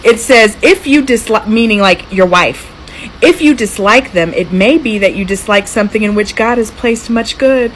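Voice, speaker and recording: An American woman talking casually to camera as a content creator, with an expressive, emphatic delivery. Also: mid-range voice, normal speed. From United States. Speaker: social media content creator. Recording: clearly noisy clip with room ambience.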